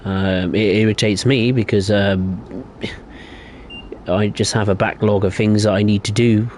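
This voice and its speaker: Rambling young man, low voice. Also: steady tone, unhurried, a little mellow, slight background noise. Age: young adult. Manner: rambling, hesitant, opinionated. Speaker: streamer. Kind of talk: casual live-stream chat.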